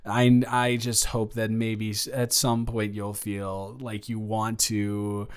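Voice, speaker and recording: A man's voice: lowish voice, completely flat delivery. Man acting a smitten, teasing young boyfriend type. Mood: fond, resigned. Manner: bantering, halting, with stammers and breathy laughs. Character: smitten, teasing young man confessing love to his best friend. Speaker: audio roleplay performer. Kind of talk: improvised romantic audio roleplay.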